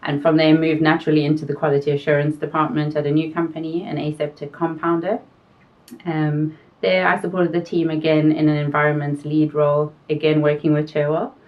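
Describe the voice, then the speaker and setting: Low-voiced South African woman, distant and muffled. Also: flat delivery. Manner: measured, explanatory, thinks aloud with fillers. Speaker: quality consultant guest. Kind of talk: explanatory interview answers. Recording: distant mic, dull sound.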